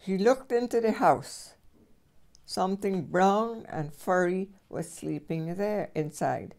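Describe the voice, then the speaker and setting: An older woman reading a children's storybook aloud as a great-grandmother, with expressive storyteller phrasing. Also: mid-range voice, slow pace, gravelly.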